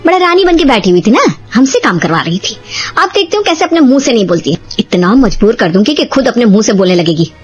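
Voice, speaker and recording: A woman's voice: on the high side, very animated, fast, faint music behind. A woman voicing a cunning, vengeful elder sister-in-law in a cartoon story, pointed and mock-sweet. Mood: scheming.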